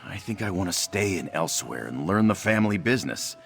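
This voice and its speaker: Male actor as earnest young half-orc game character: rumbling bass with fry, swinging intonation, self-effacing. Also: lively intonation, fairly quick, soft background music. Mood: grateful, worried. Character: young half-orc, half-cat-folk man, earnest, shy, lovestruck. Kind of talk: video game character dialogue, AI-voiced.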